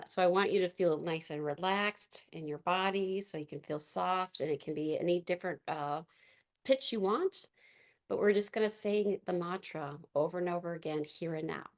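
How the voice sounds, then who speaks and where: Gentle class leader, woman, raspy and gravelly, lowish voice, easygoing guidance. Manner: soft, gentle, unhurried guidance with fillers. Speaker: movement and meditation class leader. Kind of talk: guided movement class instruction.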